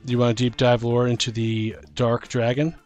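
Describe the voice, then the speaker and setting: A man co-hosting a casual tabletop gaming podcast, talking and reading aloud in a halting way, stumbling over words. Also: medium pitch, little pitch movement, darkish tone, faint music behind. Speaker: podcast co-host.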